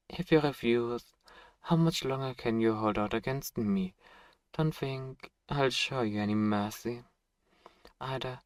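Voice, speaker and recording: Sadistic vampire lord male actor, courtly and possessive; unhurried, mock-tender delivery, very close and dry, for ASMR roleplay. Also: medium pitch, dry recording, natural voice. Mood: menacing, taunting. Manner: formal, courtly, mockingly tender. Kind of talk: ASMR roleplay audio drama.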